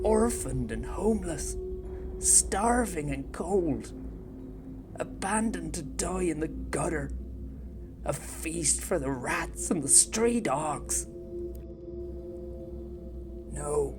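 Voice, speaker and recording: A woman voicing an embittered orphan turned devoted plague-god cultist in an audio drama, speaking intensely and often in a hushed whisper. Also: sits low. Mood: bitter. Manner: intense, brooding, slow and deliberate. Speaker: audio drama narrator-character. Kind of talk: first-person dramatic monologue. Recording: loud music score underneath. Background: loud music.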